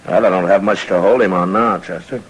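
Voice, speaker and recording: An American actor playing a steady, weary middle-aged US marshal in an old-time radio western, speaking in a measured, laconic way with hesitant pauses. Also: male voice, low voice, slightly rough. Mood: dry, wary. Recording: noisy vintage radio drama recording.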